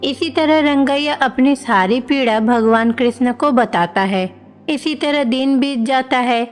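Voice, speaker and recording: A woman's voice: high voice, measured pace, big-room sound, faint music behind. A woman reading scripted narration for a moral bedtime story.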